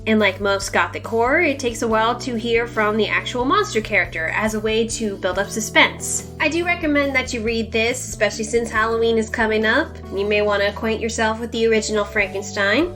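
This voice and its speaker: A woman presents a review in a somewhat high-pitched voice. Her delivery sits between script and chat, with emphatic, informal asides. Music plays softly underneath. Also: fairly quick, few pauses. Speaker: book reviewer, host of a review series. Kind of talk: semi-scripted book review narration, conversational.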